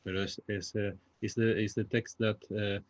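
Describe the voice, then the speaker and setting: A man with a Spanish accent, a native Spanish speaker, talks unscripted in a discussion, haltingly searching for words in his second language. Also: fairly low pitch, level tone. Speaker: playwright. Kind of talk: unscripted post-show discussion. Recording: dull, muffled lo-fi audio.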